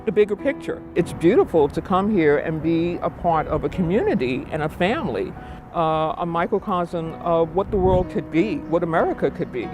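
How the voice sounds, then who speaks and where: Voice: mid-range voice, lively intonation, normal speed, music in the background. A resident speaking reflectively and idealistically about community.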